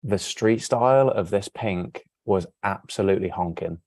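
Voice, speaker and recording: English guy, interview guest, blunt and slangy, low voice, dry sound. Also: moderate pace, a little mellow, no echo. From England. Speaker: model and fashion guest. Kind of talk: casual interview chat.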